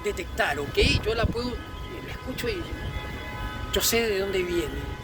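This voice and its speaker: A man's voice: high-pitched, animated, unhurried, over music, low-quality recording. A man from Peru with a Peruvian accent, giving an opinionated informal monologue in an emphatic, repetitive way with florid vocabulary. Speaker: video host.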